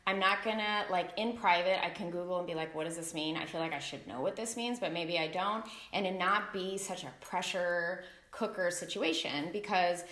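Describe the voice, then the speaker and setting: A middle-aged woman hosting a casual interview, chatty and playful with quick asides. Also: on the low side, fairly bright tone. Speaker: brand founder and host. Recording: echoey room.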